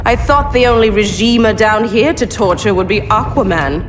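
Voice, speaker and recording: A woman's voice: medium pitch, normal speed, background noise, close to an everyday voice. An actress playing a taunting, vicious cat-woman villain in a video game, with a sly manner.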